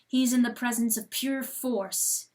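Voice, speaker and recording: A woman giving a dramatic, intense and deliberate storybook narration. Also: on the high side, steady tone, darkish tone. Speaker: audiobook narrator.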